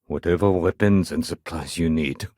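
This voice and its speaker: A man acting a wry, low-voiced security sergeant. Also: moderate pace, dark tone, no echo, clean studio sound, close to an everyday voice. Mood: businesslike. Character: male sci-fi city security sergeant, wry, businesslike, world-weary. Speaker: video game character. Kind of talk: acted video game dialogue.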